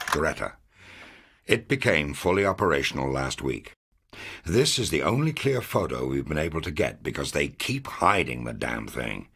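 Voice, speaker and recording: A man playing a commanding, confident American intelligence officer, delivering a measured, authoritative mission briefing in a video game. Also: rumbling low, background noise, full character voice. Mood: grave, businesslike. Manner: authoritative, measured, matter-of-fact. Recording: music under the voice. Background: background music.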